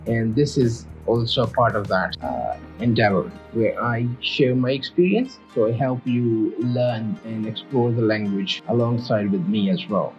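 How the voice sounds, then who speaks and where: A man's voice: lowish voice, a little slow, hardly any pauses, lo-fi sound, faint music behind. Earnest man teaching a beginners' lesson. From Pakistan. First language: Urdu and Punjabi. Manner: earnest, repeats himself, restarts phrases. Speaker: language learner teaching beginners. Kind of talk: casual video lesson.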